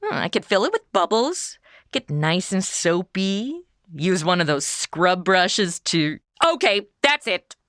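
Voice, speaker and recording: A woman's voice: fairly low pitch, very animated, takes it slowly, a bit bright, no room sound. A woman with a bubbly, flirty, self-mocking manner who hosts a comedy audio drama and plays its brassy lead. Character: flirty, brassy modern woman out of her time. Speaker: podcast host and actress. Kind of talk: comedy acting and chatty outro.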